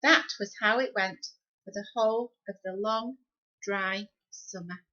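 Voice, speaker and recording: A woman reading a children's story expressively, with deliberate, dramatic phrasing. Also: mid-range voice, sharp tone. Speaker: storybook reader. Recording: slightly dull, lo-fi sound.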